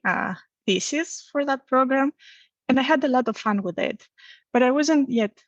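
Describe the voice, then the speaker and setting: A woman's voice: mid-range voice, fairly bright tone, dry recording. A woman from Greece with a Greek accent, telling her own story conversationally as an interview guest. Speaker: podcast guest, academic researcher.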